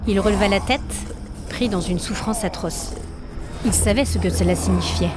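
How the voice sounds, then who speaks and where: A woman, giving dramatic scripted narration of a supernatural story. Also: medium pitch. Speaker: narrator and podcast host. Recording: music bed under narration. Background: music.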